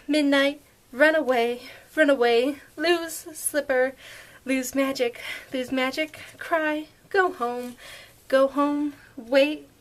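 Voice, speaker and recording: Woman as little storybook girl, high pitch, unhurried, gentle, kindly, earnest. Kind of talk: storybook puppet show character voice.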